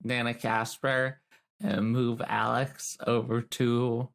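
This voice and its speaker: Casting: woman tabletop player, low gravelly fry, casual improvised dialogue, insistent. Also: flat delivery, slow. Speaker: player in a tabletop roleplaying game. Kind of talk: casual in-character improvised chat.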